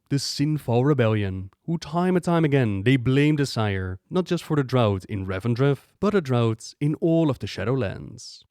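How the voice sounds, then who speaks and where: Guy doing game lore commentary, smooth clean voice, chatty explainer style, dry close mic. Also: mid-range voice, darkish tone, no room sound. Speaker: video creator, narrator.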